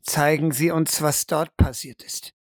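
A performer playing a stern, insistent interrogator in an audio drama, deliberate and emphatic. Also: mid-range voice, fairly even intonation, no room sound, clean studio sound, natural voice. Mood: insistent, grave. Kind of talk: dramatic audio play acting.

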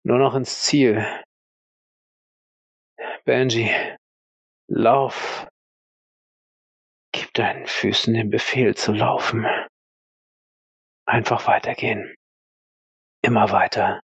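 A man playing an exhausted but dogged young soldier in elite training, muttering halting, determined self-talk in an audio role-play. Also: fairly low pitch, steady tone, breathy edge, no room sound, highs cut off, exaggerated voice. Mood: grim, determined.

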